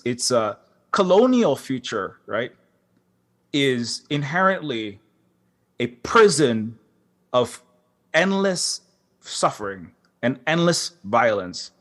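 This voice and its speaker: A man speaking on a conference panel as a game developer, impassioned and halting, with many restarts and fillers. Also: medium pitch, fairly bright tone. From Hawaii. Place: large concert hall.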